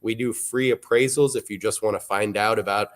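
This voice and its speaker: A man, hosting a live auction broadcast in a relaxed, wry, informative way. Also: on the low side, fairly quick. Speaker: auction show host.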